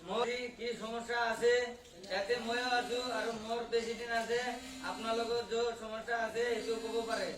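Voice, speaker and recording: Man playing a high-pitched, bumbling village politician. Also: flat delivery. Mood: self-important, placating. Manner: halting, bombastic, garbled broken English mixed in. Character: bumbling, blustering male village politician promising favours. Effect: voice changer. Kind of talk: amateur comic skit speech. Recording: echoey, distant pickup with clear background noise.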